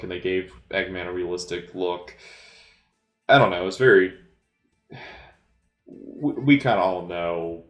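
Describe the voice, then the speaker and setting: Low-voiced man, halting, in an echoey room. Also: a bit bright. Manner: hesitant, halting delivery with trailing pauses. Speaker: amateur movie commentator. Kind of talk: casual unscripted film commentary.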